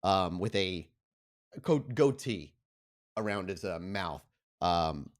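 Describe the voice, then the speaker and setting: Low-pitched man running a tabletop game. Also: animated, slow. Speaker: game master. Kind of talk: tabletop game narration and rules talk.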